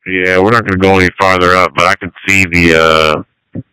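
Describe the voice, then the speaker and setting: A man's voice: low-pitched, flat delivery, normal speed, bright, forceful tone, smooth, rough recording. A man working as an emergency responder, talking casually over two-way radio. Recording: fire department two-way radio traffic.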